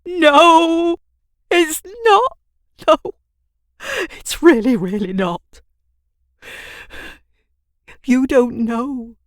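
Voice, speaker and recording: An overwrought, frightened old man of heavy build, acted by a woman in an audio drama. The voice is pitched high and drags very slowly, breaking into anguished halts. The sound is dry and intimate. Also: no room sound, heavily acted. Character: bulky, distraught old man, fearful and despairing.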